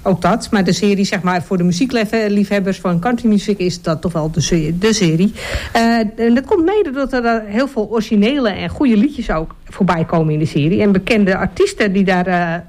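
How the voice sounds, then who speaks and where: A woman radio host presenting casually, talking in a halting way and leaning on filler phrases. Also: mid-range voice, a little mellow.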